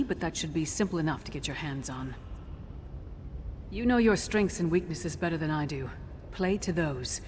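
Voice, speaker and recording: An actress playing a tough, battle-hardened warrior scout in a video game, blunt, commanding and dry. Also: female voice, lowish voice, a little mellow, a little hiss or hum, close to an everyday voice. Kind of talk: video game quest dialogue.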